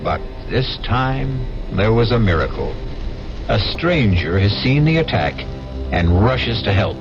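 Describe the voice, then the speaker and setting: A man giving measured, wry, scripted narration for a radio drama. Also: fairly low pitch, big pitch swings, lo-fi sound, over music.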